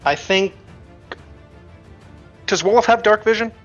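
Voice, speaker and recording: A man with a fairly high voice acts as game master, talking casually through rules and turns. The sound is slightly band-limited, with music playing behind him. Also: slow pace, lo-fi sound. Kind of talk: casual tabletop game running. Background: background music.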